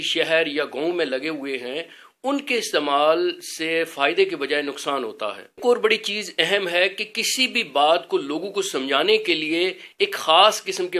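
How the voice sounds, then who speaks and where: A man giving an informal religious talk as an educator, explaining things in an earnest, measured way. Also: fairly high pitch, pushed and bright.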